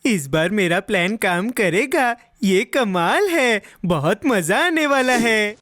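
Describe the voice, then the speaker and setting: A woman voicing a mischievous, boastful, excitable young boy in a children's cartoon, with a playful, expressive delivery. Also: medium pitch, slow pace, no echo. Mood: gleeful. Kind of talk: Hindi children's cartoon dubbing.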